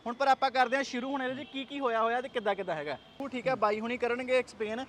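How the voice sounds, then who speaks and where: A man's voice: extremely high pitch, quick pace, fairly bright tone. A man with a Punjabi accent hosting a chatty, casual car vlog walkaround, often echoing what is said to him. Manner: chatty, echoes the other speaker's phrases. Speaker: car vlogger and host. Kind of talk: casual product walkaround interview. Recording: faint outdoor traffic noise.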